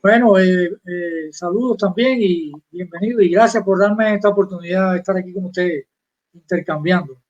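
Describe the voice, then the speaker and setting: Casting: older Cuban man, high-pitched, proud storyteller, dull lo-fi stream audio. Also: normal speed. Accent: Cuban Spanish. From Cuba. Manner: rambling, proud, many restarts and fillers. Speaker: guest chess master. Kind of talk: live interview, reminiscing.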